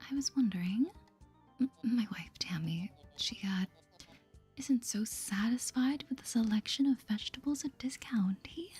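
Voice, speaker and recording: A woman voicing a timid, henpecked husband from a cozy game in a halting, apologetic whisper. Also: a little high, a little mellow, soft background music. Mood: hesitant. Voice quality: breathy, whispered.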